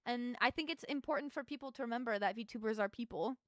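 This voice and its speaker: A woman's voice: a little high, fast, fairly bright tone, no echo, clean studio sound. A young adult woman streaming as a VTuber, chatting casually with her chat while she builds in a game and thinks aloud.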